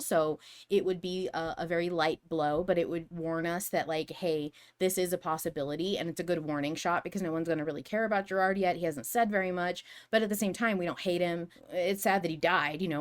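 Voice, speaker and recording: A woman co-hosting a podcast in casual fan discussion, rambling as she reasons aloud through possibilities. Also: fairly low pitch. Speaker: podcast co-host.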